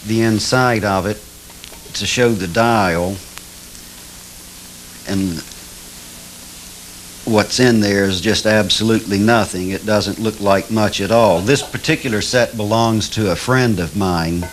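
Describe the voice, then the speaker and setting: A man's voice: on the low side, slow pace, long pauses, a little hiss or hum, rough recording. A man presenting an informal, explanatory demonstration of an object.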